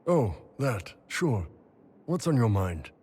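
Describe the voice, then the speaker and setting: A man voicing a big, confident mercenary warrior in a video game, husky, blunt and casual. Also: mid-range voice, sing-song, slow pace, darkish tone, exaggerated voice. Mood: easygoing. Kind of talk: video game dialogue acting.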